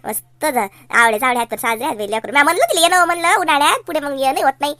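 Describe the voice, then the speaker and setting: A woman's voice: high-pitched, lively intonation, very fast, bright, forceful tone, no echo. A woman voicing a fretful, doting middle-aged mother in a cartoon comedy, with a Varhadi Marathi accent and a homely, chiding way of talking. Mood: fond.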